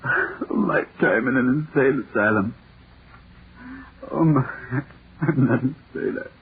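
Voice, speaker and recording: A man's voice: medium pitch, husky, phone-quality sound. A man playing an anguished, pleading son facing the asylum, in 1940s radio melodrama. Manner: slow, pleading. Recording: thin old radio recording, music behind.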